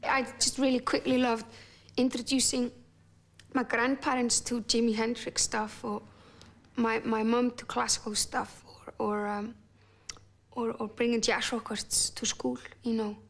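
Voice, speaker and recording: A woman's voice: a little high, little pitch movement, a little slow. A woman with an Icelandic accent, talking reflectively in a documentary interview and often restarting and repeating words as she searches for her thoughts.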